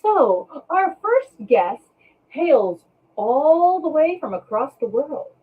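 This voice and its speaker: A woman's voice: high-pitched, very animated, takes it slowly. A woman co-hosting a casual podcast, speaking with dry, teasing humor. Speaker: co-host. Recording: dull audio, distant from the mic.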